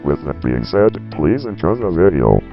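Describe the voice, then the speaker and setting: A man's voice: deep voice, sing-song, normal speed. A man reading a formal scripted disclaimer as narrator, on a dull lo-fi voice track. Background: music.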